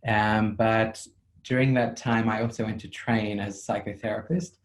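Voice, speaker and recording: A psychotherapist with a South African accent, answering interview questions in a measured, reflective way over a video call, with frequent ums. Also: male voice, on the low side, fairly even intonation.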